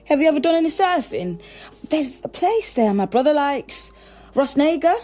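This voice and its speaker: Guarded woman, fairly high voice. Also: very animated, moderate pace, mellow, soft background music. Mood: coaxing. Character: gentle, watchful undercover policewoman posing as a nanny. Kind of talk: naturalistic radio drama acting.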